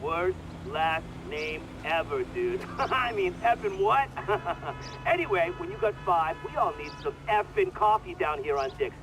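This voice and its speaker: An actor playing a mocking, juvenile police officer in a video game, with a jeering, slangy manner. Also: male voice, high voice, animated, unhurried, music in the background, poor audio. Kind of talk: scripted video game cutscene acting.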